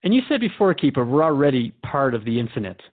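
A man's voice: mid-range voice, big pitch swings, fast, phone-quality sound. A man playing a curious, respectful radio host in a radio drama, interviewing in an inquisitive, conversational way. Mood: curious, earnest.

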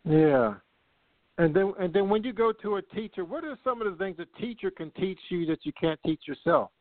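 A man's voice: sits high, expressive, moderate pace, no echo. Effusive male interviewer, tinny line. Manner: effusive, admiring. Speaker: host. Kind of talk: interview questions.

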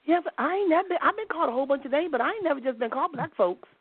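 A woman's voice: high-pitched, animated, racing, very noisy. A woman hosting a call-in talk show, blunt and outspoken, laughing often as she talks. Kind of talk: casual talk show over a phone line. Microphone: phone call. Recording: tinny phone line, dry.

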